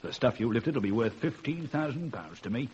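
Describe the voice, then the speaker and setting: A dull, hissy old radio crime play. A man plays a ruthless British master thief, cold and scornful. His delivery is commanding and articulate, swinging widely in pitch. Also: sing-song, fairly quick, darkish tone, lightly acted. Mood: menacing, gloating. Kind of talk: vintage radio crime drama acting.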